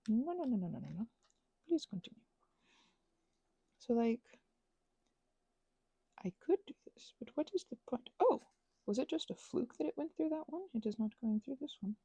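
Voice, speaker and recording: Woman, husky and creaky, swooping intonation, whimsical self-talk, slipping into whispers, casual ASMR ramble. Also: fairly high pitch, lively intonation, normal speed, pauses often, dark tone, no room sound. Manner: whimsical, talking to herself, often drifting into whispers. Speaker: host of a rambling craft ASMR video.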